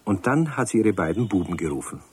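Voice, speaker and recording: A man narrating a children's radio play in a calm, measured way. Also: on the low side, slightly rough. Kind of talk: scripted storybook narration.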